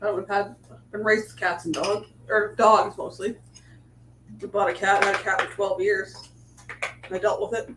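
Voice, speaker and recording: A woman's voice: mid-range voice, little pitch movement, normal speed, long pauses. Middle-aged woman, stuffy nose, rambling live-stream host; echoey home room. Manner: rambling, chatty, with many restarts. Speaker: livestream cooking host. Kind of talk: casual chat on a live stream, greeting viewers. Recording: echoey room with faint hum.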